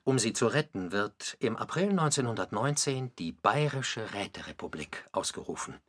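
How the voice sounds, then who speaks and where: A man's voice: on the low side, big pitch swings, moderate pace, a bit bright. A man delivering scripted radio documentary narration.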